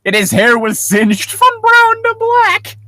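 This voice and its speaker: A man's voice: very high voice, wildly swooping pitch, slow, pushed and bright, no echo, clean studio sound. A man reading a horror story aloud for comedy, breaking off into sarcastic, profane, incredulous commentary.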